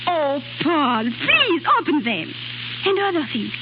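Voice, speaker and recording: High-voiced passionate young French chanteuse. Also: female voice, very animated, slow pace, heavily acted. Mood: wistful. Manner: theatrical, foreign-tinged phrasing. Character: passionate, dramatic young French nightclub singer in love. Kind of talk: 1930s radio acting. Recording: muffled, noisy 1930s radio broadcast with hum.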